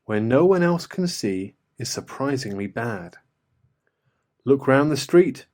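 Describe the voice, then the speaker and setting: A man's voice: medium pitch, animated, takes it slowly, a little mellow. A man voicing a wise, friendly talking cat in a children's storybook, kindly explaining.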